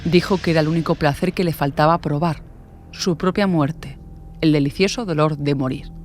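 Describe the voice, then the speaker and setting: A woman's voice: low voice, fairly even intonation, normal speed. A woman from reads a scripted, dramatic true-crime narration. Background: music.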